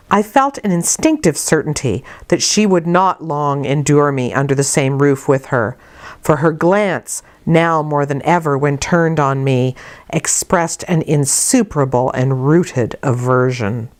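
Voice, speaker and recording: A woman narrating a literary story in a measured, careful reading manner. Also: low-pitched. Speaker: audiobook narrator.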